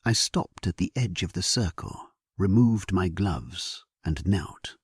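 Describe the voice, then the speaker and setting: Man voicing a deep, husky, solemn warrior king. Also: expressive, no echo, studio quality, full character voice. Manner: solemn, measured, dramatic delivery. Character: grave, resolute English king at war, narrating his memoir. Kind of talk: scripted first-person sleep-story narration.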